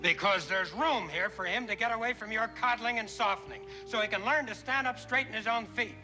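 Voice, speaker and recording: An actor playing a bitter, stubborn former sea captain, a husband and father, arguing forcefully in an old television western. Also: high-pitched, a little fast, music in the background, natural voice, poor audio. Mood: angry, bitter. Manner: forceful, argumentative. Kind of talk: 1960s TV western drama acting.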